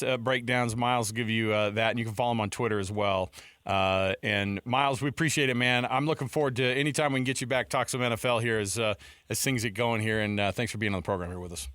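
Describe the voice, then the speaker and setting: A man's voice: mid-range voice, a bit bright, dry recording. A man co-hosting a casual sports talk radio show, speaking close to a studio mic.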